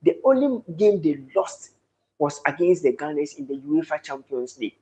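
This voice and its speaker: Husky Ghanaian man hosting a live stream. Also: on the high side, sing-song, normal speed, a little mellow, poor audio. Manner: effusive, repeating thanks and greetings to viewers; declaiming sports talk. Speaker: live-stream sports host. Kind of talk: casual live-stream football chat.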